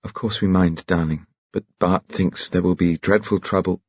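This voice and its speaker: A man voicing a tense middle-aged society wife who speaks woodenly in a raised pitch. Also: fairly even intonation, normal speed, no echo. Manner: wooden, then rising to alarm. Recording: muffled lo-fi recording.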